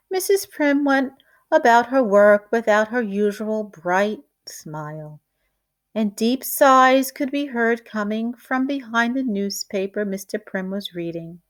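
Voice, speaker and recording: A woman reading a children's picture book aloud like a teacher, in a deliberate, expressive storytelling way. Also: on the high side.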